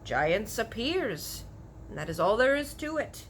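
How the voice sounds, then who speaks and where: A woman voicing a very old, blustery but earnest male giant with mangled grammar and drawn-out, emphatic words. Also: fairly high pitch, sing-song, slight background noise, voice pushed up.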